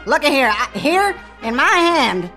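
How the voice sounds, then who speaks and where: A woman playing a bumbling, excitable male president in a satirical comedy, stammering in a folksy Southern drawl. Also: high voice, a little slow, soft background music, full character voice. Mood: flustered, pleading. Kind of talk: satirical cartoon-style comedy sketch acting.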